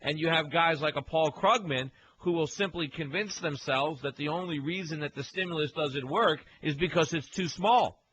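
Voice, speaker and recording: A man hosts a call-in radio show from his home studio, his voice bright and a bit sharp. He talks in a quick, chatty run, full of fillers and restarts. A faint hiss sits underneath. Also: a little high, highs cut off. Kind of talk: casual call-in talk radio.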